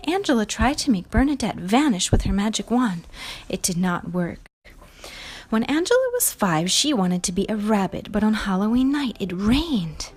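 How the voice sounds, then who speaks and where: A woman's voice: medium pitch, expressive, normal speed, slightly rough. A woman hosting a read-aloud, telling a storybook to young children with emphatic expression. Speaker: storybook reader and show host.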